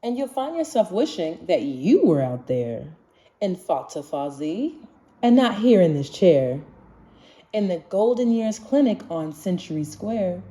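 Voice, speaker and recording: A woman reading a rhyming children's storybook aloud in an expressive, measured manner. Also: on the low side. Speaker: children's book reader.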